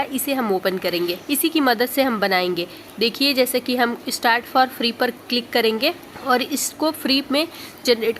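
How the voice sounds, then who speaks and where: High-voiced woman explaining steps. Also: a bit bright, a little hiss or hum. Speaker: tutorial host. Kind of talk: step-by-step screen tutorial narration.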